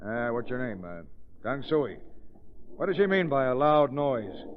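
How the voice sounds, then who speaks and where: An actor playing a gruff, stubborn steamship captain who speaks bluntly and barks orders in 1930s radio drama style. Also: male voice, medium pitch, very animated, unhurried. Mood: indignant. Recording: tinny, noisy old 1930s radio recording.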